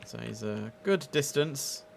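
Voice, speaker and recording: A British man hosts a casual game stream in an average-pitched voice. He talks informally and addresses his audience directly as he comments on the play. A faint bed of noise and ambience sits behind him. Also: expressive, a little slow. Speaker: livestream host and gamer. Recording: clear background noise and ambience.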